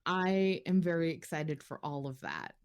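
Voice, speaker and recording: A woman's voice: sits low, sing-song, unhurried, clear, resonant tone. A woman co-hosting a podcast, chatting casually and expressively with enthusiasm, swearing now and then. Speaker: podcast co-host discussing a horror audio drama. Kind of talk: casual fan discussion.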